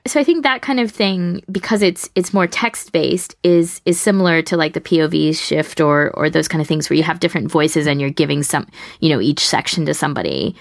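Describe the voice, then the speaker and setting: A woman's voice: low-pitched, fairly quick, vocal fry, no echo, clean studio sound. A woman co-hosting a casual podcast discussion, chatty and explaining her thoughts at length. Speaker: podcast co-host.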